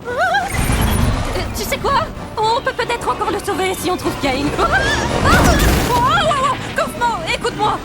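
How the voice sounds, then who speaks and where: A woman's voice: very high voice, very animated, takes it slowly, over a noisy crowd, exaggerated voice. A woman voicing an anxious, eager-to-please cartoon doll, speaking in a nervous, apologetic stammer. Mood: nervous, pleading. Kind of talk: cartoon dubbing. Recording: music clearly behind the voice.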